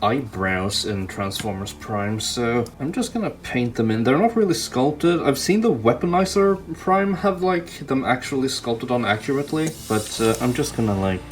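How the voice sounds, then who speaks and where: A man's voice: mid-range voice, animated, moderate pace, few pauses. A man giving casual hands-on hobby commentary, thinking aloud in a hesitant way as he works. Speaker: toy customizer hosting a hobby video. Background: background music.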